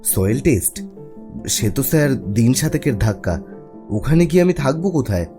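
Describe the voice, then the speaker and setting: Male actor as young government officer telling his cartoon ghost story; animated delivery, music underneath. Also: medium pitch, moderate pace, lightly acted. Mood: reluctant. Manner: expressive, storytelling. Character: young unmarried government officer narrating his eerie experience. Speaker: narrator and lead character. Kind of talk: dramatic first-person horror story narration. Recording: music behind the voice.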